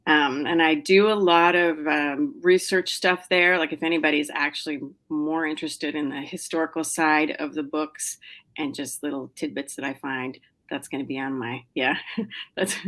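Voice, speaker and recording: A woman's voice: low voice, a bit bright. A middle-aged woman novelist chatting as a podcast guest, with lots of fillers, restarts and small laughs. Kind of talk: casual interview chat.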